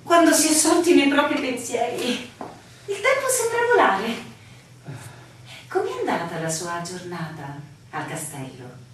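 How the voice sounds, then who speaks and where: An actress playing a composed, inquisitive village woman with formal, measured questioning in a radio play. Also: fairly high pitch, very animated. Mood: composed, probing. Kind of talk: theatrical radio drama dialogue. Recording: echoey, distant, noisy radio play recording.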